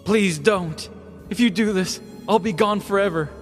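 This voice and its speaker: A man's voice: normal speed, long reverb tail, faint music behind, lightly acted. A man doing a pushy, pleading woman roommate. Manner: pleading, raised pitch.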